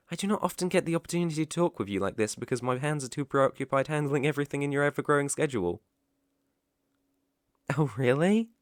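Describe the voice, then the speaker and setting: A man with a British accent playing a polite, devoted butler in an ASMR roleplay, speaking formally and deferentially with soft attentiveness. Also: mid-range voice, no room sound, studio quality, lightly acted. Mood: lightly teasing. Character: polite, devoted young male butler, gently teasing.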